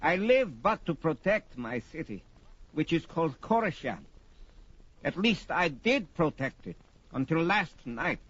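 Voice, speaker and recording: An aged sorcerer, speaks high and slowly with theatrical emphasis. He is indignant and boastful, swinging widely in pitch as in a vintage fantasy record drama. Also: very animated, a bit bright, breathy edge, exaggerated voice. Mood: indignant, aggrieved. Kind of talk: 1970s audio drama record acting. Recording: dull vintage story record.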